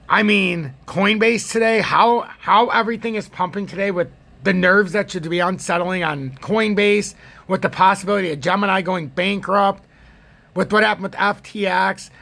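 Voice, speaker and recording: A man with an American accent hosts a casual trading video. His voice sits a little high for a man, yet it is husky and full of gravelly fry. He rambles through chart commentary off the cuff and gives his opinions freely. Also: fairly bright tone. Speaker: crypto trading video host.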